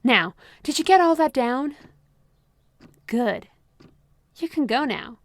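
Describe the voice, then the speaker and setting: A high, honeyed voice of a possessive therapist who controls her patient with calm sweetness, as a woman acts her out. The sound is close and dry, with no room around it, like an intimate ASMR roleplay. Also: slow, no echo. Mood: smooth, controlling. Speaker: voice actress.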